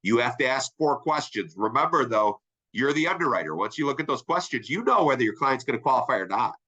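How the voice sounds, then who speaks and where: A man's voice: medium pitch, expressive, quick pace, sharp tone, husky. A middle-aged man with a congested, nasal voice, presenting a sales training webinar in a conversational way and often checking in with his listeners. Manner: upbeat, conversational, asks "right?" often. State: congested, sinus infection.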